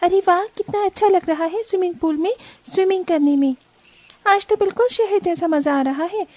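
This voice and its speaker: A woman's voice: very high voice, normal speed, warm tone, pitched above the performer's own voice. A woman voicing a haughty, spoiled and showy young rich sister-in-law in a cartoon family story. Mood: delighted. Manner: scornful, complaining, showy.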